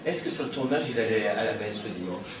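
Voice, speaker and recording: A man's voice: on the low side, animated, fast. A man moderating a panel and putting questions to the guests, with hesitant fillers. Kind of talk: interview questions. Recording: echoey room, distant mic, audience noise.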